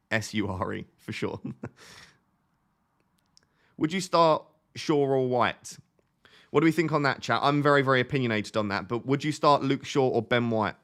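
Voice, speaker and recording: A man's voice: medium pitch, fairly bright tone. A young man in his twenties hosting a live stream, chatting casually and thinking aloud as he answers viewer questions. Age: 20s. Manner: thinks aloud in a chatty way, often restarting phrases.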